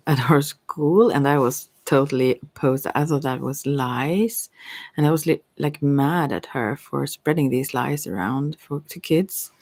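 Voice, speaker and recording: A woman's voice: deep voice, a little mellow, no room sound. A middle-aged woman, chatting informally in an ASMR style with a hesitant, meandering manner, close to the microphone. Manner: hesitant, meandering, giggly asides. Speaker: ASMR tarot reader. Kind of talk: rambling, informal ASMR chat.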